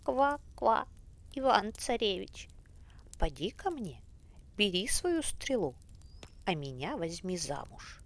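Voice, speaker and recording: A woman voicing a frog princess in a bedtime storybook, with a high, croaky singsong voice. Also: big pitch swings, slow, long pauses. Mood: coaxing. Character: sweet-talking female frog princess.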